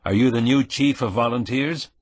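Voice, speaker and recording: A man's voice: mid-range voice, normal speed, no room sound, voice pushed up, close to an everyday voice. A man voicing a polite, dry-witted man asking questions.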